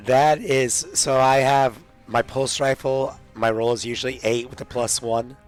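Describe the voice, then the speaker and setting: A man's voice: mid-range voice, flat delivery, measured pace, faint music behind. A man playing a tabletop roleplaying game, talking casually through his moves and rolls.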